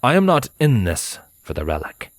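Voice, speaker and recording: A man voicing a cold, proud male pony assassin with a haughty, measured, formal way of speaking. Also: medium pitch, huge pitch swings, dry recording, clean studio sound, voice pushed up. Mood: cold, dismissive.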